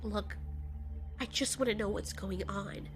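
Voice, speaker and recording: A woman's voice: medium pitch, steady tone, a little slow, faint music behind, pitched below the performer's own voice, close to an everyday voice. A woman voicing a distressed female giant moth monster in an audio drama, exclamatory, stammering and incredulous. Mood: hurt, pleading.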